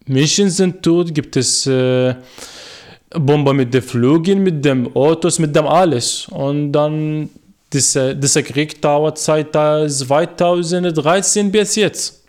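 Young Iraqi man in halting German. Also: a little high, a little mellow. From Iraq. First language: Arabic. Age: 20s. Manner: halting learner's German with many fillers and restarts. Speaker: guest, former journalist. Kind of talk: radio interview.

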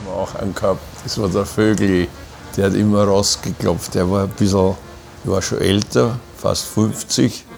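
A man's voice: lowish voice, fairly even intonation, normal speed, vocal fry, a little mellow, faint music behind. An actor playing a weathered, easygoing veteran seaman who tells anecdotes in a colloquial, rambling way in a radio play. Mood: reminiscing. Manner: colloquial, rambling, matter-of-fact. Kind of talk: German radio play acting, conversational anecdotes.

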